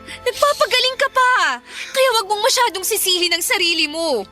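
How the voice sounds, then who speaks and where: Radio drama wife: woman, very high bright voice, patient, earnest, easily wounded. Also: expressive, normal speed, faint music behind, heavily acted. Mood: earnest, reassuring. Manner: earnest, pleading, emotional delivery. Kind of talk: Filipino radio drama acting.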